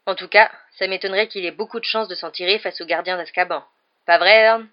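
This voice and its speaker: A woman's voice: medium pitch, fairly even intonation, fairly quick, close to an everyday voice. A smug young male bus conductor from a storybook, as played by a woman narrator. He is chatty and dramatic, forever fishing for agreement with little tag questions. The sound is slightly muffled. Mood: dramatic, gossipy.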